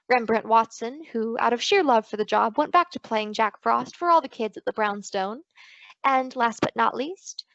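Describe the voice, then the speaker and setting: A woman's voice: a little high, fairly bright tone, no echo, highs cut off. An actress playing a confident, playful woman private detective in an old-time radio drama. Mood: warm, playful.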